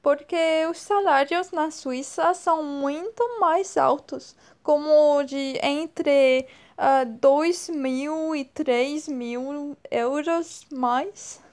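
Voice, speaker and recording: A woman in her twenties from France with a French accent, speaking slowly and hesitantly as an interview guest, often pausing to search for words. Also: high voice. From northern France. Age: 20s. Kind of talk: slow, careful speech with many pauses and fillers.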